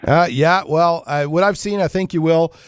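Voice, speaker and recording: A man's voice: fairly high pitch, clear, resonant tone, no room sound. Informal man hosting radio, close and dry. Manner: informal, halting, repeats words. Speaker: radio show host. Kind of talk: casual live radio chat.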